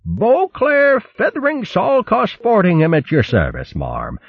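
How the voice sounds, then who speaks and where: Storybook, stammering posh old hare, high fluting voice, muffled dry recording. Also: male voice, huge pitch swings, a little slow, gravelly, husky, no room sound, exaggerated voice. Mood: hesitant. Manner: stammering, pompous upper-class. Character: blustering old male hare, always hungry.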